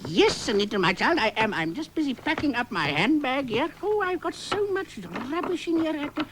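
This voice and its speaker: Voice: high-pitched, sing-song, fairly quick, noisy background. An actor playing a chatty, dotty, old-fashioned lady with a South African accent, rambling and exclaiming in a radio comedy sketch. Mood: chatty, amazed.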